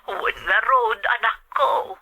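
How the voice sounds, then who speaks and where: A woman's voice: fairly high pitch, slow, sharp tone, full character voice. A woman with a Filipino accent playing a worried, loving older mother in a radio drama, plaintive and fretful.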